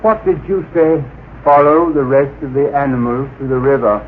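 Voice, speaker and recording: An actor playing a haughty alien officer in a radio drama, speaking with formal, precise and condescending diction. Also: male voice, medium pitch, fairly even intonation, moderate pace, lightly acted. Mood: scornful, commanding. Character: haughty male alien officer, coolly contemptuous of humans. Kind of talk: old-time radio drama acting. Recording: tinny, noisy vintage radio recording.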